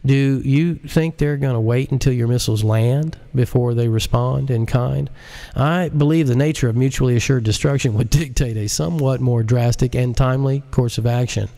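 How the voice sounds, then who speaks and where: A man voicing a smug, menacing male computer genius, calm, deliberate and condescending, in an audiobook. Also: mid-range voice, creaky, pitched above the performer's own voice, natural voice. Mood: smug menace. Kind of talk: audiobook character acting.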